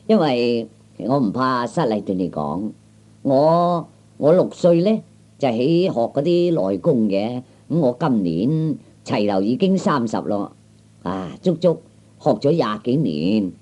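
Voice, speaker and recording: A man playing a small, skinny, boastful kung fu braggart in a Cantonese comic radio play, talking haltingly with many interjections. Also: medium pitch, big pitch swings, a little mellow. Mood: smug, mock-modest. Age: 30s.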